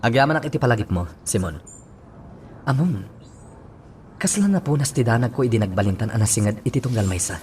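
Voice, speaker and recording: A man's voice: mid-range voice, expressive, brisk, pauses often, a little mellow, a little hiss or hum, close to an everyday voice. A man with an Ilocano accent playing an earnest, dutiful policeman in a radio drama.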